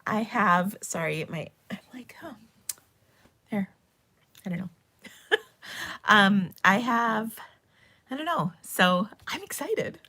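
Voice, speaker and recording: A woman hosting a casual live craft stream, chatty and bubbly, her voice a little hoarse because she is losing it. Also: medium pitch, animated, slow, pauses often, bright, forceful tone. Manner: chatty and bubbly, with frequent ums and laughs. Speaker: host of a live stamping and cardmaking stream.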